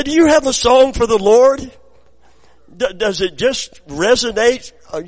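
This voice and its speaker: A middle-aged man preaching a sermon, his voice raspy from preaching, moving between quiet storytelling and loud exclamations. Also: sits high. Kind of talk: sermon with personal anecdotes.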